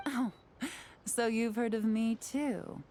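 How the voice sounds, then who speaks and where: A woman's voice: a little high, fairly even intonation, mellow, slight background noise. A woman playing a poised, shrewd investigator in a video game, speaking with composed, confident polish. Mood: sly politeness. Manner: composed, measured, coolly confident. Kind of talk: video game character voice acting.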